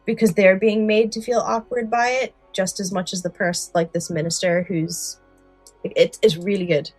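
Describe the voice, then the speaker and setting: A woman's voice: medium pitch, steady tone, normal speed, darkish tone, breathy edge, faint music behind. A woman co-hosting a podcast, talking casually in an analytical, articulate way. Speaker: podcast co-host. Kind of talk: casual conversational discussion.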